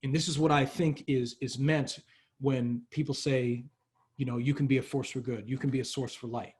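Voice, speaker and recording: A man's voice: mid-range voice, fairly even intonation, moderate pace, darkish tone. A man speaking earnestly in a casual motivational talk, with frequent fillers and restarts. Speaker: workshop speaker.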